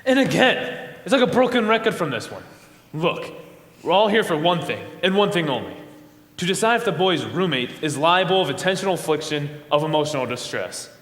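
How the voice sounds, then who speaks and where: A brash, callous male juror, played by an amateur stage actor, argues with dismissive impatience. His fairly high voice swings widely in pitch and rings through an echoey hall. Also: sing-song, brisk, fairly bright tone. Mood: exasperated. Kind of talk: amateur stage play acting.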